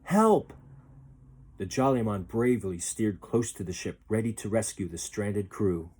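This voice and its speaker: Low, slightly husky male storyteller. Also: normal speed, mellow. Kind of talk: children's story read aloud.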